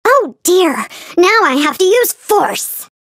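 A woman's voice: very high voice, big pitch swings, slow, a bit bright, dry recording, studio quality, heavily acted. A woman voicing a prim, doting and haughty motherly alien flea queen for a video game, theatrical and sing-song as she scolds and coos. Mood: stern, disdainful. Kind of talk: video game character voice lines.

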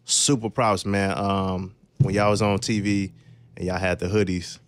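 Deep-voiced young man, casual radio host. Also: little pitch movement, a little slow. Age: young adult. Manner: informal, slangy. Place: radio studio with equipment racks. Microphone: close studio broadcast microphone.

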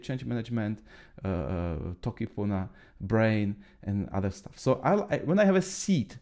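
A man in his thirties from the Czech Republic with a Czech accent, speaking English as a second language while walking through his note system in a screen-share tutorial, halting often with fillers and restarts. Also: mid-range voice, dark tone. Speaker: trainer.

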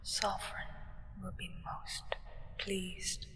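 Casting: reverent gothic acolyte , played by a woman, hushed and very slow, formal diction, for whispered roleplay. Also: mid-range voice, monotone, big-room sound. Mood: solemn, reverent. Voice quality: breathy whisper. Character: solemn, devoted human acolyte of a vampire sovereign. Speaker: ASMR roleplay performer.

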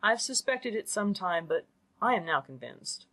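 A woman voicing a dry, sardonic middle-aged father in a storybook, speaking coolly and deliberately. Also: medium pitch, voice pushed up. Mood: cool, scornful. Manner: cool, deliberate, deadpan.

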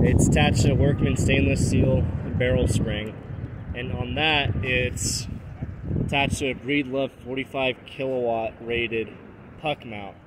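Matter-of-fact man, noisy outdoor recording. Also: medium pitch. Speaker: truck owner showing his CB radio setup. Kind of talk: casual technical show-and-tell. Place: parking lot, in and around a pickup truck. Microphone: handheld phone or camera.

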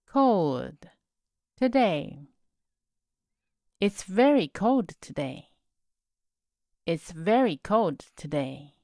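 A woman teaching set phrases in a slow drill, saying each word on its own and then the whole sentence. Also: on the low side, very animated, dark tone, no echo, clean studio sound. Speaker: English teacher. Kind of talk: slow repeat-after-me drill reading.

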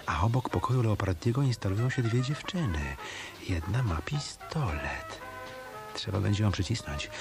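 A tough hotel detective in a radio drama. His voice sits low, and he mutters his wry, hard-boiled lines over clearly audible music. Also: expressive, normal speed. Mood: brooding. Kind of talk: Polish radio play acting.

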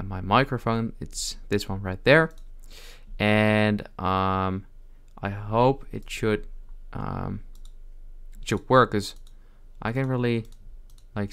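A man hosts a casual how-to tutorial in a clear, smooth voice that sits a little low. He talks through each step informally, often hesitating and filling gaps as he goes. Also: a bit bright. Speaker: tutorial host. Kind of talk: casual software tutorial narration.

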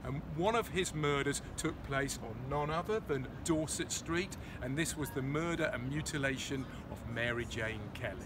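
A man's voice: on the high side, moderate pace, few pauses. A man in his forties leading a walking tour, explaining sights with enthusiasm while walking outdoors in a city and filming on a close phone camera. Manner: enthusiastic, explanatory, pointing things out. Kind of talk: casual on-location tour narration. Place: outdoors on busy city streets. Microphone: handheld phone camera close to face. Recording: outdoor street traffic noise. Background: street and traffic noise.

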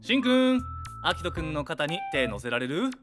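Male photographer, lightish high pitch, very lively, brisk and encouraging, anime audio drama. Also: over music. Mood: upbeat, encouraging. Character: upbeat, coaxing male photographer on a shoot. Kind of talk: anime-style voice drama acting.

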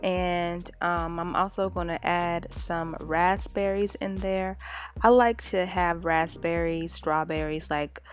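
A mom in her thirties talks through her cooking in a relaxed, rambling way, full of fillers. Her voice sits a little low for a woman. Soft music plays faintly underneath. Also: takes it slowly. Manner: chatty and relaxed, many fillers. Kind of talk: casual cooking voice-over.

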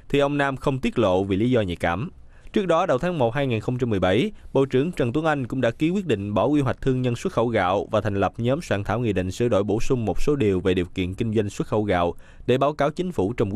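A man reads news voice-over at a fast clip. His voice sits at a middle pitch and sounds dry and close, with no room around it. The delivery stays even and businesslike from sentence to sentence. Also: dry recording. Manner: brisk, even newsreader delivery. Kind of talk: scripted news narration.

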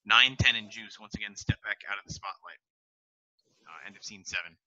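Young man on a headset, reads stage directions, very bright, dry sound. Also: lowish voice, brisk, no echo, muffled recording. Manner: plain, steady reading.

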